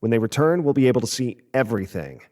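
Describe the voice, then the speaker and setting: Male actor for matter-of-fact spaceship tech, mid-pitched, explanatory delivery, audio drama. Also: animated, darkish tone, close to an everyday voice. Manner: measured, explanatory.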